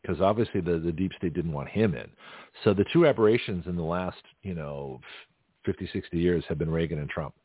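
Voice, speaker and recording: A man's voice: low-pitched, a little fast, husky, no room sound. A middle-aged man hosting a radio talk show, rambling and laughing often at his own jokes. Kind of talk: casual political call-in chat. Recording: phone-like narrow band.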